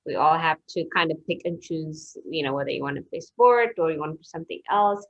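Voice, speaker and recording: A young woman from Ethiopia, a personal trainer, talking as a guest in a soft, hesitant, casual way. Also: deep voice, expressive, quick pace. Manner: soft-spoken, hesitant, laughs easily. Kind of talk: casual interview chat. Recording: dull lo-fi remote audio.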